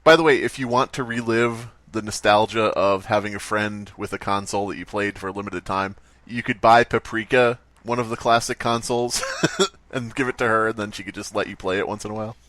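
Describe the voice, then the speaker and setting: A man's voice: on the low side, steady tone, fairly quick, a bit bright, dry recording. Joking man, dry close recording. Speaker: podcast co-host. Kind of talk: casual joking chat.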